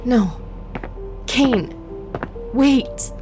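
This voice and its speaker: An actress playing a wary, secretive, capable woman in a sci-fi horror audio drama, breathy and often close to a whisper. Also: on the high side, lively intonation, drawn-out words, over music. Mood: alarmed.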